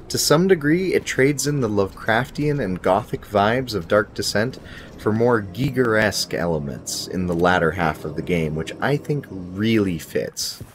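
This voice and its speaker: A man's voice: on the low side, expressive, moderate pace, noisy background. A man in his thirties giving a scripted video game review in a wry, casual, emphatic way. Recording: music behind the voice. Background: music.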